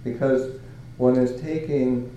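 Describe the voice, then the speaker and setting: An older man from Canada giving a Buddhist talk as a monk, halting and searching for words with many fillers and soft chuckles. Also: medium pitch, steady tone, very echoey, muffled recording, slight background noise. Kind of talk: dharma talk.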